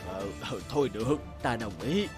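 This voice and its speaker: A man voicing a gentle, worried middle-aged merchant father in a cartoon fairy tale, with a hesitant, sighing delivery. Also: mid-range voice, animated, normal speed, natural voice. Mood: uneasy scheming. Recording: loud music bed behind voice.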